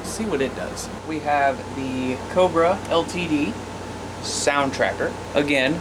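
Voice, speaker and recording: A man's voice: mid-range voice, background noise. An American man giving a casual, plain-spoken hands-on gear demonstration as an informal presenter. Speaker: radio hobbyist presenter.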